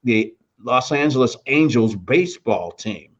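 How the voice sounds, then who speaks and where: Older American male radio veteran, casual and animated raconteur who voices quoted dialogue, mid pitch, slightly dull recording. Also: unhurried. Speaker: veteran radio announcer telling career memories. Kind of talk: casual anecdotal storytelling.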